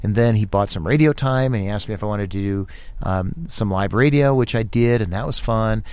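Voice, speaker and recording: Man, lower voice, animated, restarts often, dry close sound. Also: fairly quick, clean tone, no room sound. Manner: animated, talks in bursts with restarts. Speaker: podcast host, guest here. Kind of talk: casual interview chat.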